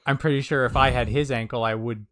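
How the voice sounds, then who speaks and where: Guy co-hosting a chat show, regular pitch, laid back and jokey, dry audio. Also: steady tone, quick pace, a bit bright, no echo. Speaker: sumo talk show co-host. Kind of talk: casual chat.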